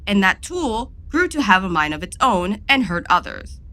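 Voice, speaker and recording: Woman as solemn, bright-voiced mystical guide. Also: medium pitch, sing-song. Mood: reproachful. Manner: measured, firm, earnest. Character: solemn, knowing mystical guide warning of a curse. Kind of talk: audio drama acting.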